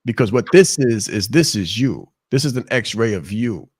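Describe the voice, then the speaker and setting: A man with a naturally loud, projecting voice, coaching on a video call with passion and emphatic repetition. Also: lowish voice, animated, normal speed, breathy edge, no echo, studio quality. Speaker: leadership coach. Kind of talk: motivational talk on a live show.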